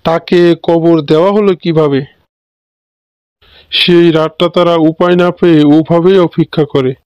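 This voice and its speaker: A man's voice: on the high side, fairly even intonation, moderate pace, clear, resonant tone, dry recording, muffled recording. A man reading scripted narration for a cartoon ghost story.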